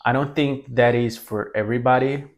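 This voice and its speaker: Young man, Brazilian Portuguese accent, non-native English, casual vlog talk, halting, restarts sentences, mid-pitched voice. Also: fairly even intonation. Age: 20s. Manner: halting, many restarts and self-corrections. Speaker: language mentor.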